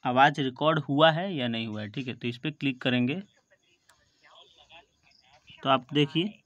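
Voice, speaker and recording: A man's voice: mid-range voice, normal speed, a bit bright, highs cut off. A man with an Indian accent hosting a tutorial, explaining step by step and often checking that viewers follow. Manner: repeatedly seeks confirmation, addresses viewers as friends. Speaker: tutorial video host. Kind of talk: step-by-step phone tutorial narration.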